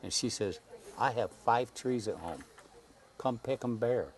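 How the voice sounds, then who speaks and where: A man in his eighties, a guest on a talk show, speaking slowly and haltingly and repeating words as he searches for them. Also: mid-range voice, darkish tone. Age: 80s. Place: Saipan.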